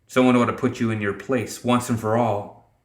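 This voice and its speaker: A man's voice: mid-range voice, fairly even intonation, normal speed, echoey room, close to an everyday voice. A man voicing a proud, scornful warrior woman with haughty, threatening disdain in a fantasy audiobook. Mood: menacing.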